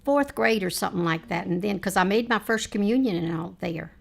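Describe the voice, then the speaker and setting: A woman in her late seventies, reminiscing in a family oral history chat, rambling with frequent pauses and fillers. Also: medium pitch, vocal fry. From Texas, United States. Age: 70s. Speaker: interviewee, mother.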